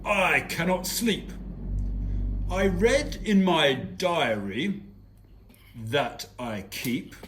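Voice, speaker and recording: Man playing a slow, dramatic puppet king. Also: high voice, slight background noise, exaggerated voice. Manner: theatrical, ponderous. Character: pondering, dramatic male king of ancient Persia.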